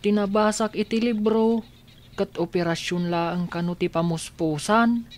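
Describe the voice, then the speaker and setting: A woman's voice: medium pitch, a little slow, darkish tone, close to an everyday voice. A woman playing an anxious but brave young boy in an Ilocano radio drama, with a childlike, questioning manner. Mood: anxious, hopeful.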